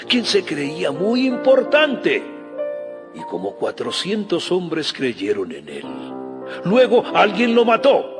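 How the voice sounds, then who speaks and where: A high-voiced, solemn Pharisee teacher. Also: male voice, heavily acted. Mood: grave, cautioning. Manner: solemn, deliberate oratory. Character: elder Pharisee law teacher, respected, measured, persuasive. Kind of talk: dramatized Bible audio acting. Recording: long hall reverb under music. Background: music.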